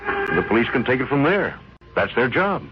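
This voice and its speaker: An actor playing a steady, dependable friend in an old-time radio crime drama, measured, placating and a little evasive. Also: male voice, medium pitch, close to an everyday voice. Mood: explaining. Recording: muffled, hissy old radio recording.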